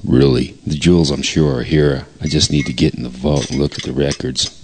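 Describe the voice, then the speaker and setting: Brief: male actor as slow-witted crook's sidekick for radio comedy, extremely low voice, hesitant, submissive, long hollow reverb. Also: steady tone, a little mellow, slight background noise. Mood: timid. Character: dim, nervous, obedient male henchman. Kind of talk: comic radio play acting.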